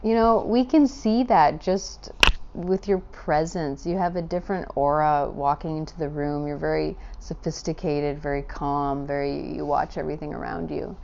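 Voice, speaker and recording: Effusive woman interviewer, low voice. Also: steady tone, normal speed, creaky, warm tone, highs cut off, a little hiss or hum. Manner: effusive and complimentary. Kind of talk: magazine interview.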